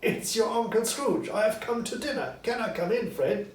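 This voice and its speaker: A man voicing a joyful, excitable old miser newly reformed, in an exclamatory storybook reading. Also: high voice, normal speed, pitched above the performer's own voice. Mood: cheerful. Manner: exclamatory, gleeful. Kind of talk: audiobook character acting. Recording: roomy echo, distant mic, faint noise.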